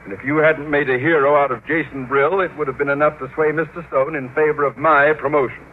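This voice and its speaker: An American actor playing a pompous, threatening middle-aged school principal in a formal, blustering radio sitcom style. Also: male voice, a little high, fairly even intonation, fast, lightly acted. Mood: menacing, pompous. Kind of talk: 1940s radio sitcom acting. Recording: tinny, noisy vintage radio recording.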